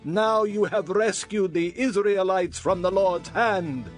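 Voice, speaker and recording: An actor playing a formal, earnest priest of ancient Israel in a dramatized scripture reading. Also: male voice, sits high, slow, faint music behind. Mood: earnest, relieved. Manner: formal, declamatory.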